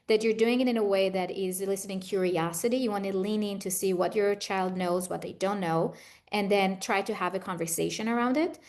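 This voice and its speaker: A middle-aged woman with an Israeli accent speaks as an interview guest at a medium pitch. She explains and advises conversationally, in the manner of a practised therapist. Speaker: guest expert, trauma therapist.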